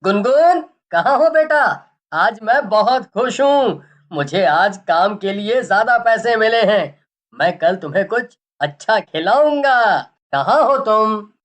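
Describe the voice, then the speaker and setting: A woman's voice: mid-range voice, lively intonation, takes it slowly. A woman playing a caring, confident middle-aged village father, a snake charmer, reassuring and matter-of-fact in a storybook cartoon. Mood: happy.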